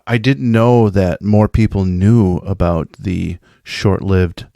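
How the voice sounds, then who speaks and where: Low-voiced, playfully effusive man. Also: steady tone, takes it slowly, creaky, warm tone, no echo, studio quality. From American Midwest. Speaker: podcast host sending in a festival recap. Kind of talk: enthusiastic informal thank-you monologue.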